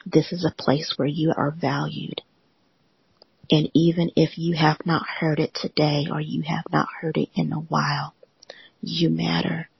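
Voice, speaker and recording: A host of a live audio room giving a gentle, reassuring motivational talk in a soothing, often whispery voice. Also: medium pitch, creaky, breathy edge, no room sound, muffled recording.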